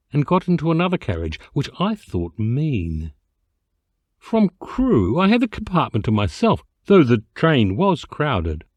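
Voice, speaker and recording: Male narrator, mid-pitched, for humorous classic fiction: theatrical, very animated, mock-solemn. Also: no echo. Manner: theatrical, expressive, mock-dignified. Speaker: amateur voice actor reading an audiobook excerpt. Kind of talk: comic literary narration, storytelling.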